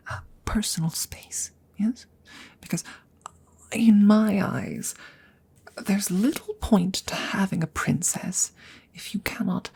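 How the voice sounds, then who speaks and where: A woman voicing a courteous, smitten female dragon in a hesitant, formal ASMR roleplay, whispering softly and breathily close to the microphone. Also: fairly low pitch, creaky, husky, exaggerated voice. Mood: tentative, courteous. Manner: hesitant, formal, old-fashioned phrasing with murmured repetitions.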